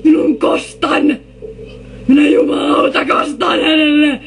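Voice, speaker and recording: A woman's voice: high voice, little pitch movement, gravelly. A woman voicing an indignant, overdramatic anime character in an amateur comic parody dub, exclaiming in a melodramatic, improvised way. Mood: indignant, vengeful.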